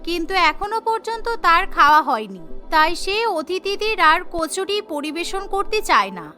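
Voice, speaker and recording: A woman narrates a cartoon in a scripted, storytelling manner. Her voice is very high and sharp-edged. Soft music plays underneath. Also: big pitch swings, normal speed. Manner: storytelling, voices every character.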